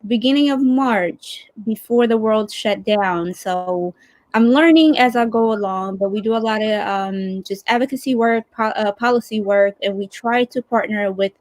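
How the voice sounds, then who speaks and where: A woman's voice: medium pitch, moderate pace, darkish tone, no echo. A woman co-hosting a casual livestream, chatting in an informal, upbeat way. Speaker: campaign director, co-host. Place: remote video livestream.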